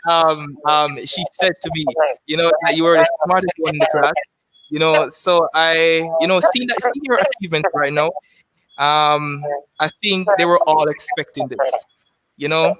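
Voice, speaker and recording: Higher-voiced young male interviewer. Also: normal speed, a bit bright, low-quality recording. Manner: hesitant, many fillers like um and you know. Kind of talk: casual interview.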